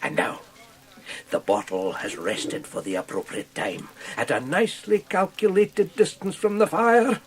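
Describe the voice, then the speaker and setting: A man playing a slow, florid old Scottish gentleman. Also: fairly high pitch, natural voice. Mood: genial, relishing. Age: older. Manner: florid, relishing, unhurried. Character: convivial, florid old Scottish gentleman, proud wine host. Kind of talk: radio drama acting.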